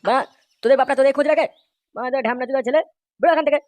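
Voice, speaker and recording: A man's voice: very high voice, rapid-fire, darkish tone, slight background noise. A man voicing an irritable middle-aged neighbourhood uncle in a cartoon, blustering and swearing. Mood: furious. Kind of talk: crude comedy cartoon dub.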